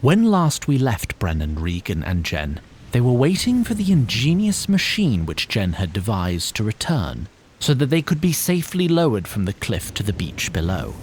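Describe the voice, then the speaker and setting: An actor playing a wry, theatrical storyteller, narrating a fantasy tale in formal, florid language. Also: male voice, lowish voice, wildly swooping pitch, normal speed, darkish tone, slight background noise. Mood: grave storytelling. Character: wry, theatrical storyteller addressing his listeners. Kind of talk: scripted dramatic fantasy narration.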